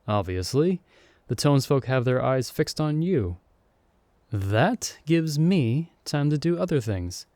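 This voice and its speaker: A man's voice: mid-range voice, warm tone, no room sound, lightly acted. A man playing a playful young man, the hero's friend who was summoned along with him, in an ASMR audio roleplay. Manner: teasing, friendly and upbeat. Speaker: voice actor.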